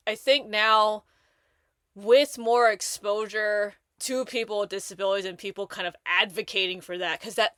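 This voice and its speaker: A woman's voice: mid-range voice, no echo. Chatty woman podcast host, dry sound. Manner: animated, rambling, many restarts and fillers. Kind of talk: casual conversation.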